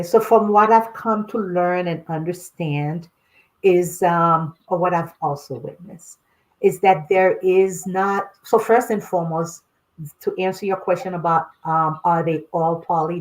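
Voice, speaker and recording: Haitian-born woman, lively storytelling guest. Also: lowish voice, unhurried, rough recording. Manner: animated storyteller, laughs often, addresses listeners as y'all. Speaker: guest, clinical sexologist. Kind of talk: casual podcast conversation.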